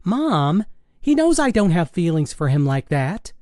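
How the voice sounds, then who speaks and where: A man's voice: sits high, big pitch swings, a little slow, mellow, dry recording, studio quality, voice pushed up. A man voicing a sarcastic, dramatic teenage girl in an audiobook, with an exasperated, mocking manner. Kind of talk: audiobook character dialogue.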